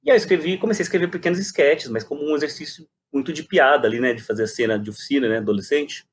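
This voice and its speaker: Higher-voiced São man over background noise. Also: rapid-fire. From Brazil. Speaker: guest, playwright and poet. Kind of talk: reflective conversational interview.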